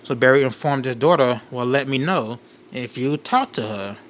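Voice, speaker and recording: A man hosting a show, reading a document aloud with off-the-cuff commentary in a halting way, repeating and rephrasing as he goes. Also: mid-range voice, a little hiss or hum. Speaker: late night show host.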